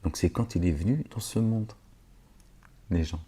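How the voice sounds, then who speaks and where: Low husky-voiced man reading and commenting. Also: normal speed, dark tone. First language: not Arabic. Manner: digressive, sarcastic asides, hesitant fillers. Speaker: religious lecturer reading scripture. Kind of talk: scripture reading with spoken commentary.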